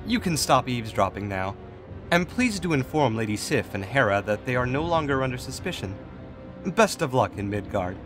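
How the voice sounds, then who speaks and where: A man playing a composed, calculating god in authority, speaking in a measured, formal, diplomatic way in a fantasy audio drama. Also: mid-range voice, sing-song, over music, natural voice. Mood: polite, knowing. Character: Raphael, composed, calculating male god in authority, gently chiding.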